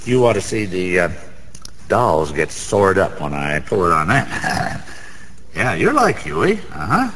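An actor playing a boozy, boastful middle-aged Broadway gambler in a radio drama, rambling in streetwise slang with a wisecracking manner. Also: male voice, low-pitched, sing-song, measured pace, a bit bright, exaggerated voice. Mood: cocky, chummy. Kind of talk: 1990s radio drama acting. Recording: reverberant hall, faint background noise.

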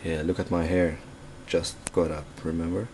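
A man's voice: sits low, level tone, moderate pace, darkish tone, slight background noise. A man with a Dutch accent giving casual unboxing commentary, thinking aloud in a hesitant way. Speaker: tech reviewer. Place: indoors at a desk in a room.

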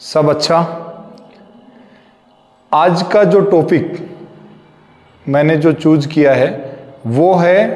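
A man, a gym trainer giving fitness tips slowly and deliberately, mixing in English phrases. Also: a little high, a little mellow. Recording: echoey hall reverb.

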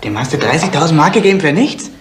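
An actor playing an alarmed, accusing young family member in a 1970s German radio crime drama. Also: male voice, on the high side, sing-song, fast talker, slight background noise. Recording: echoey room.

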